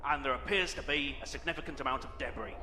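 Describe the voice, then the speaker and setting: A man playing a blunt, excitable spaceship crewman in a sci-fi audio drama. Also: medium pitch, fast talker, lightly acted. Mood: businesslike, wry. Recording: echoey hall-like ship bridge, noisy ambience.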